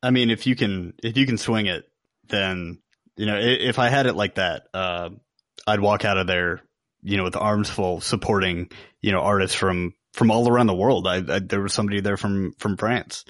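A man's voice: lowish voice, fairly even intonation, normal speed, a bit bright, dry recording, studio quality. A man co-hosting a podcast in casual conversation. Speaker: podcast co-host. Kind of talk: casual chat.

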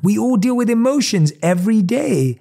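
A man giving a motivational talk, telling a reflective story and slowing down and stretching words to stress them. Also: sits high, animated, creaky, mellow. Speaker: host.

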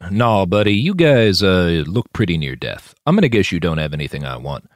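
A man's voice: sits low, big pitch swings, normal speed, no room sound, studio quality. A man voicing a big, friendly posthuman warrior with a casual, slangy manner in an audiobook story. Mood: amused.

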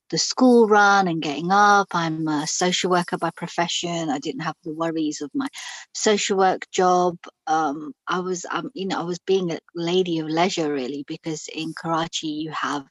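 Reflective, husky-voiced middle-aged woman. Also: on the low side, no room sound. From Pakistan. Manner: frank, reflective, with frequent fillers and restarts. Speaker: guest. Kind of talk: personal storytelling in an interview.

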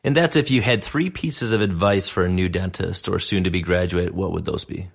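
A man's voice: sits low, fairly quick, gravelly. A man in his thirties hosting a casual interview, upbeat, asking questions and calling his guest "man.". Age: 30s. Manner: upbeat, asks questions, says "man" and "dude". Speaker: podcast host.

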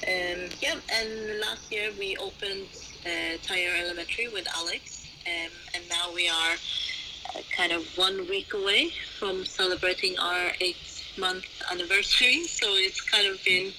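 Woman from Norway, interview guest, halting casual answers, clear background noise of an open bar. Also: lowish voice, fairly even intonation. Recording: clearly noisy, background ambience.

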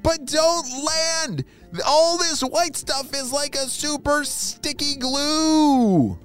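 A storyteller voicing an excitable, wide-eyed young boy spy in a children's adventure tale. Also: high voice, moderate pace, faint music behind, voice pushed up. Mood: excited, urgent. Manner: exclaiming, wide-eyed, dramatic.

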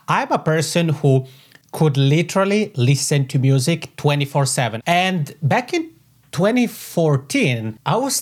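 A man in his thirties from the Italian-speaking part of Switzerland, with a Swiss Italian accent, answering as a podcast guest in an eager, expansive way from his home office on a podcasting microphone. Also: a little high. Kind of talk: conversational interview answers.

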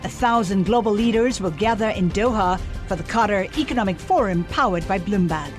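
Polished woman announcer over soft music. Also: mid-range voice, fairly quick. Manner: polished, upbeat sales delivery. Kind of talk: scripted promotional voice-over. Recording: voice-over with faint music behind.

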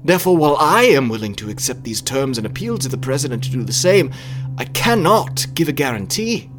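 Man as bullied statesman, timid, submissive, formal, halting, raised pitch, history podcast drama, music behind. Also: big pitch swings. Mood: timid, pleading.

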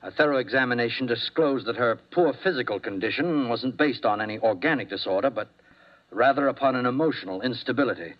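An actor playing a nervous, fussy doctor in 1950s radio drama, speaking hesitantly and formally. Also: male voice, mid-range voice, fast talker, natural voice. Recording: muffled old radio broadcast.

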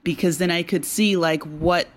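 A woman's voice: lowish voice, steady tone, normal speed. A woman speaking as an interview guest, a writer explaining her work conversationally and thoughtfully. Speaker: guest, audio drama writer and creator.

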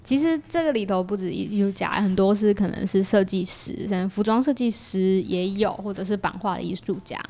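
A woman speaks with a Taiwanese Mandarin accent at an average pitch. She talks as a podcast guest, explaining in an informal, chatty way and readily repeating words of agreement. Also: a little fast, few pauses, dark tone. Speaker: podcast guest, exhibition project creator. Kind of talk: casual podcast interview.

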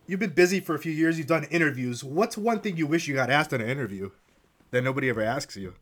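A man's voice: fairly high pitch, lively intonation, quick pace, a bit bright. A man hosting a podcast, asking questions in a casual conversational style. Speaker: podcast host, interviewer. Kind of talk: casual interview.

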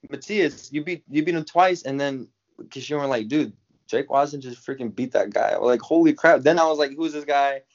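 A man's voice: mid-range voice, normal speed. Slangy young man, slightly dull audio. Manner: slangy, exclamatory storytelling. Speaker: podcast guest, jiu-jitsu athlete. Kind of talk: casual chat.

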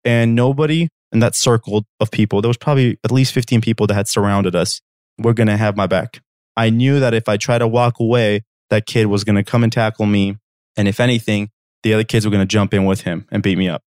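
A man's voice: fairly low pitch, fairly even intonation, fairly quick, a little mellow, clear, resonant tone, no room sound, clean studio sound. Earnest man telling a personal story. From Armenia. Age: 30s. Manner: earnest, direct, addresses listeners with "okay?". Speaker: podcast host.

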